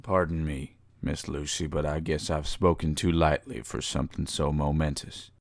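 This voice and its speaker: A man's voice: a bass voice, flat delivery, normal speed, gravelly, dry recording, clean studio sound, heavily acted. A man playing an earnest, humble cowboy suitor, folksy and courteous, in an audio drama.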